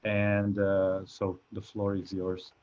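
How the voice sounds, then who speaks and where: Hesitant low-voiced man chairing a panel. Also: monotone, slow, darkish tone. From Portugal. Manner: hesitant, many filler sounds and restarts. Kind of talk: online academic panel moderation. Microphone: computer mic on a video call. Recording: dull lo-fi video call audio.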